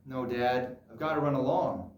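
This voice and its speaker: A man acting as a patient, dutiful grown son in a stage drama, placating and gently insistent with an ageing father. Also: mid-range voice, steady tone, moderate pace, lightly acted. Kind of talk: solo dramatic interpretation on stage. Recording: echoey room, distant microphone.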